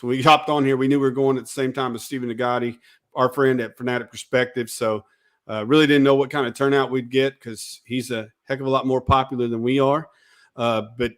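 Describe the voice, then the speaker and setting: A man hosting a casual sports talk show, a little hoarse, easygoing and chatty as he thanks viewers. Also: medium pitch. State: slightly hoarse. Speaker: host.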